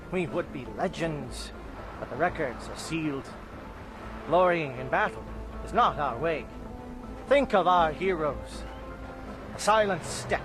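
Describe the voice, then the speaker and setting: Alien military captain, solemn, formal measured oratory, higher-pitched male voice, loud game music behind. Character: solemn alien commando captain rallying his soldiers. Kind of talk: video game cutscene acting.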